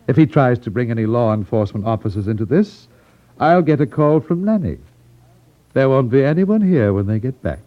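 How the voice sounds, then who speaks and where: An actor playing a menacing, smoothly pompous crime boss in 1950s radio drama style. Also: male voice, medium pitch, expressive, a little fast, natural voice. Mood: smug, amused. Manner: smooth, mockingly polite, pompous. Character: suave, menacing crime boss of a betting racket. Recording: dull 1950s radio recording.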